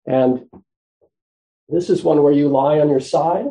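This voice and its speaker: Soft-spoken man with a gravelly, dark voice. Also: fairly high pitch. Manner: gentle, unhurried, with frequent pauses and fillers. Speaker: meditation teacher. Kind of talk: guided meditation instruction.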